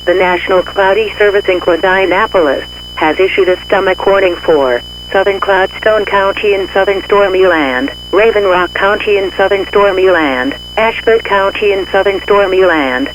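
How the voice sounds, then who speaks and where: Muffled high-pitched male emergency alert announcer. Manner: formal, measured official reading. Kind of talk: scripted emergency weather bulletin. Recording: muffled radio-style audio with hum.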